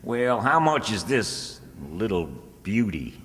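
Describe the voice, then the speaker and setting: An actor playing an earnest, gullible small-town rustic schemer, folksy and homespun, in old-time radio comedy. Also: male voice, on the low side, very animated, takes it slowly, a bit bright, full character voice. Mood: doubtful haggling. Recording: echoey hall with long reverb.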